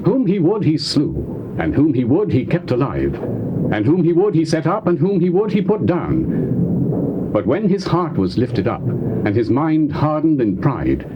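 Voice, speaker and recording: On a noisy old tape, a stern male prophet rebuking a king in a dramatized scripture. His voice is fairly high and swings widely in pitch as he declaims with solemn emphasis. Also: huge pitch swings, measured pace, lo-fi sound. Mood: solemn, grave. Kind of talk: dramatized scripture, theatrical delivery.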